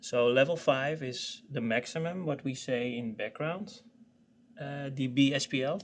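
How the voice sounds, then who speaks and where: A man explaining technical settings in an informal training session, haltingly, often repeating words as he searches for phrasing. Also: medium pitch, a little hiss or hum. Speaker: product expert explaining software.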